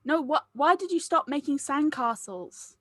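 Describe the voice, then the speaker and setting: A woman puts on an innocent little child for an audio drama read. The voice is fairly high and swings in pitch, earnest and blunt, asking simple questions. The recording is dry. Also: lively intonation, moderate pace, fairly bright tone, dry recording. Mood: curious. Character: curious, blunt, innocent small child building sandcastles. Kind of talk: acted script table read.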